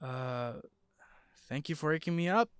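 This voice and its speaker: A man's voice: a little high, unhurried, smooth, no room sound, studio quality, close to an everyday voice. An actor playing an earnest, shy young man in an audio drama, with a hesitant way of talking. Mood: shy, awkward. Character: John, devoted young husband, also shy teenage student.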